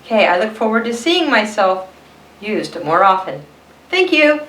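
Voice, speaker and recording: Sassy, self-promoting crayon for a storybook, played by a woman; wide pitch swings, roomy echo. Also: big pitch swings, a little slow, fairly bright tone, a little hiss or hum. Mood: indignant, pointed. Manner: sassy, pointed. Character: perky, indignant, self-promoting pink crayon. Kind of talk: children's storybook read-aloud. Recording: roomy echo, distant mic.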